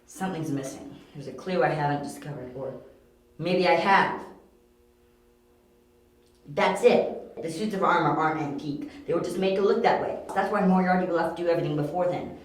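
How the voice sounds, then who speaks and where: A man's voice: a little high, a little fast, natural voice. Guy acting a young detective in an audio drama, explains everything insistently, super echoey room. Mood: deducing. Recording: very echoey, roomy amateur recording.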